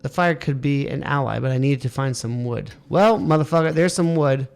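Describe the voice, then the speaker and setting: A man's voice: mid-range voice, normal speed, creaky, darkish tone, clear, resonant tone. A man playing a video game, muttering, swearing at it and reading its text aloud. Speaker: let's play gamer. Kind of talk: casual let's play commentary, reading game text aloud.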